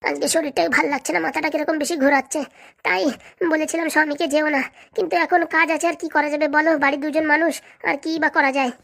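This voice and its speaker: A meek young cartoon housewife, voiced by a woman, with a high voice and a slight creak. She speaks plaintively and melodramatically. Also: steady tone, fast talker, fairly bright tone. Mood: meek, unwell. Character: meek, dizzy pregnant young housewife, wailing when hurt. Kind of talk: comedy cartoon dubbing.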